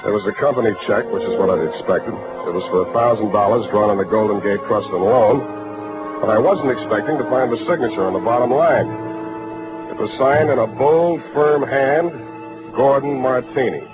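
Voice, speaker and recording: An actor playing a tough, wry, world-weary private detective in a 1940s radio drama, speaking tersely on a noisy vintage recording. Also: male voice, mid-range voice, normal speed, loud music behind the voice, natural voice. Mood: dry narrating. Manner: hard-boiled, terse, wry delivery.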